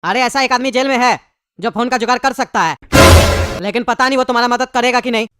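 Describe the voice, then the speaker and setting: A high-voiced performer playing a querulous, haggling pumpkin-man prisoner in a comedy cartoon, with Bihari-style Hindi. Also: quick pace, over loud music, lightly acted. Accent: eastern Indian (Bihari-style) Hindi. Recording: clearly noisy background.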